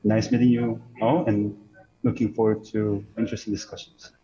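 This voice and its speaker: A man in his thirties from Korea, a graduate joining a panel online, speaking haltingly with many fillers. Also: lowish voice, level tone, warm tone, husky. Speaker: alumnus panelist. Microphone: video call. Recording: dull lo-fi call audio.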